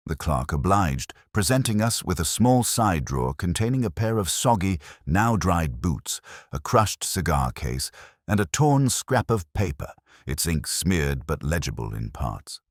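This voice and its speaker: A man with an extremely deep voice narrates a mystery tale in a measured, literary way. His pitch moves in lively swings, and the sound is dry and close. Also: no room sound. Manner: measured, formal, literary delivery. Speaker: audiobook narrator. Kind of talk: scripted mystery story narration.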